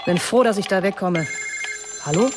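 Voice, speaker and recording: A woman's voice: medium pitch, moderate pace, background noise, lightly acted. Actress doing a sarcastic lady politician, cocky. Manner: sardonic and self-assured. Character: sharp-tongued woman politician, scornful of the powerful. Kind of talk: radio play acting.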